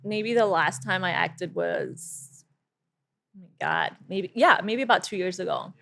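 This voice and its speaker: A woman's voice: on the low side, huge pitch swings, vocal fry, fairly bright tone, slight background noise. Young woman host, chatty interviewer, loose conversational delivery with frequent laughs. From mixed heritage, lived in Singapore and the US. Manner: chatty, laughs often, quick asides. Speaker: podcast host. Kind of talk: casual interview chat.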